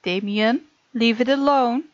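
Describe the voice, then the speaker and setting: Higher-pitched woman, muffled mic, chatty gaming host. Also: a little slow, dry recording. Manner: chatty, reacts aloud to the story. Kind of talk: let's play: reading game text aloud with casual commentary. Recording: muffled lo-fi mic over game music. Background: game music.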